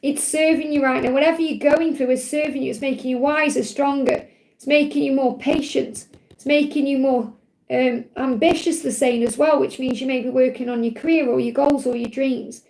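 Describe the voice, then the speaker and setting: A woman hosting a live spiritual card reading, her voice croaky from overwork, reassuring and repeating her key phrases. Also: high-pitched, fairly even intonation, moderate pace, darkish tone, poor audio. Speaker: spiritual card reader, livestream host.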